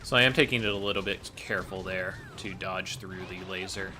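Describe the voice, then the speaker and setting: A man's voice: sits low, steady tone, fairly quick. A man giving explanatory commentary on his video game speedrun. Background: game music.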